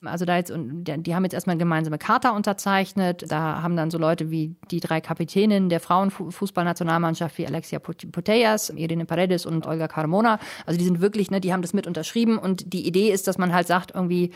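A woman's voice: lowish voice, fast, hardly any pauses. A woman working as a foreign correspondent, telling things in a chatty, colloquial interview style full of acted-out asides. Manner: chatty, colloquial, animated storytelling with quoted asides. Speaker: correspondent in Spain. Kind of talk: casual conversational interview report.

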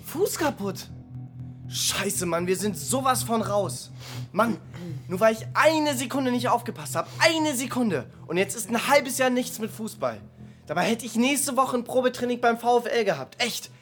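A woman's voice: on the high side, expressive, moderate pace, faint music behind, lightly acted. A woman playing a frustrated, impulsive young football hopeful in a radio play, slangy and blunt with lots of swearing. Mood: agitated, cursing. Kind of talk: German radio play monologue and dialogue.

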